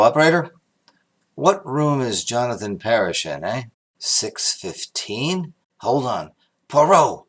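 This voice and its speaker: An actor playing a blustery, earnest and slightly slow-witted police inspector, brusque and emphatic, in old-time radio mystery style. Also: male voice, mid-range voice. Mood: urgent.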